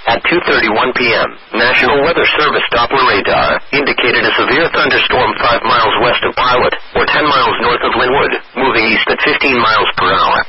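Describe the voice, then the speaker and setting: Synthetic male weather radio announcer, heavy noise. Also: medium pitch. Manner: synthetic, measured, impersonal. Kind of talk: formal emergency weather bulletin. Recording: noisy, dull weather radio broadcast.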